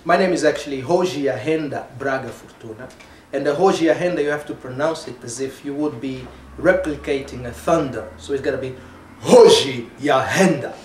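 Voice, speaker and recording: A man in his thirties from Angola with an Angolan Portuguese accent, talking about himself and his work as an actor in an emphatic, deliberate manner. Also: mid-range voice. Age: 30s. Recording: roomy, distant mic, background noise.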